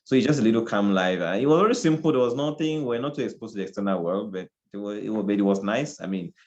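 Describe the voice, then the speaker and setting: A man's voice: fairly low pitch, animated, fast, dull audio. A man from Cameroon, a guest in an interview, talking in a reflective, casual way and often repeating phrases while he searches for words.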